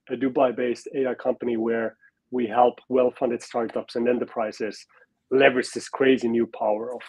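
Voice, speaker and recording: A man's voice: mid-range voice, a little mellow, husky, dry recording, rough recording. A man from Finland talking on a video call as a guest, hesitant with many "uh" and "um" fillers. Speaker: guest expert. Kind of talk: casual interview talk.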